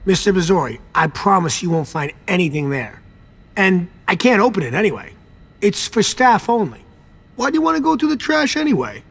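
A nervous, husky, servile vampire lackey. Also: male voice, sits high, very animated, a little fast, fairly bright tone, exaggerated voice. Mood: defensive. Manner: pleading, deferential, evasive. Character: nervous, servile young vampire garage attendant hiding a secret. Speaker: garage attendant. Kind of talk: video game cutscene acting.

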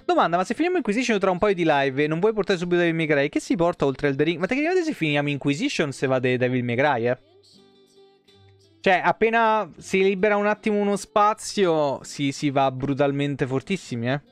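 A man's voice: a little high, big pitch swings, fairly quick, clear, resonant tone, no room sound. A man, live streaming casually in slangy, foul-mouthed talk and reading game lore aloud. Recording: music playing behind the voice. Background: soft tavern guitar music.

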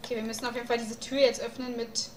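A woman's voice: on the high side, little pitch movement, fast talker, echoey room, slight background noise. Young woman musing through a game. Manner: musing aloud, thinking through puzzles. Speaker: let's player. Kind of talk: casual game commentary and reading aloud.

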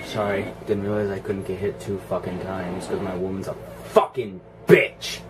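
A man's voice: low voice, flat delivery, brisk. A man giving casual, profane commentary as he plays a horror game, talking straight at the game. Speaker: gamer playing and commenting. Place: indoors, filming a TV screen while playing. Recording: noisy room capture with game sound.

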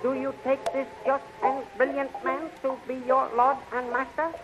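An actor playing a solemn high priest of an alien empire, formal and declamatory, in a 1930s radio serial. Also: male voice, monotone, moderate pace. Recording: dull 1930s radio recording with music.

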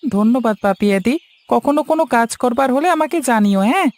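A woman's voice: on the high side, lively intonation, brisk, darkish tone, no room sound, lightly acted. A woman voicing a helpful, dutiful young village handyman in a cartoon story, earnest and polite. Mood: grateful. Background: background music.

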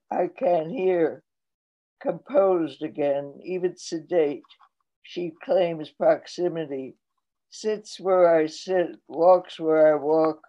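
Woman in her 80s reading poems real slow over zoom, muffled lo-fi sound. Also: deep voice, mellow. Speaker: poet reading her work. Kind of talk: poetry reading. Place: home room, indoors. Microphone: laptop webcam on video call. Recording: dull lo-fi video call audio.

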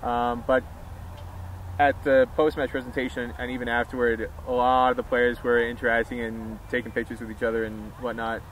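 Male sports interviewer speaking in measured chunks. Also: mid-range voice, flat delivery, low-quality recording. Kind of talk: post-match interview questions. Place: outdoor sports ground. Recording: outdoor background noise.

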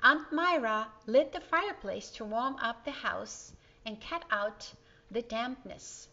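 Slow, higher-pitched woman reading a storybook. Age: middle-aged. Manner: expressive, addresses the young listeners with questions. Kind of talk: children's storybook read-aloud with chatty asides. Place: indoor living room. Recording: dull, slightly lo-fi sound.

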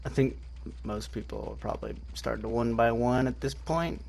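A man's voice: medium pitch, normal speed, gravelly, a little mellow. A young man giving casual, slangy gameplay commentary as a streamer, talking in short muttered bursts. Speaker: game streamer.

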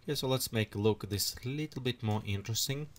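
A man with leading a software tutorial at his computer, thinking aloud as he adjusts settings. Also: medium pitch. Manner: unhurried, thinks aloud while clicking through settings. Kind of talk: screen-recorded software tutorial.

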